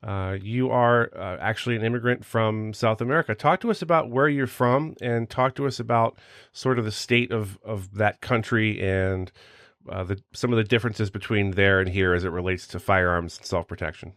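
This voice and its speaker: A man's voice: on the low side, fairly even intonation, normal speed, fairly bright tone, dry recording, clean studio sound. A man hosting a podcast in an upbeat, chatty way, reading a sponsor spot and introducing his guest. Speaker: podcast host.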